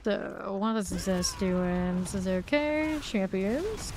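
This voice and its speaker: A woman's voice: mid-range voice, lively intonation, takes it slowly, gravelly, background noise. A woman live-streaming a game, chatting casually and rambling between gameplay callouts, laughing easily. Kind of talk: casual chat while playing a game live.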